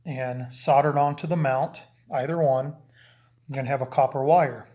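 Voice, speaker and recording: A man's voice: mid-range voice, fairly even intonation, moderate pace, a little mellow. A man explaining radio setup in a casual, rambling how-to video while handling gear. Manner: rambling, hands-on explaining with restarts. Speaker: CB radio hobbyist. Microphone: camera mic, handling gear while talking.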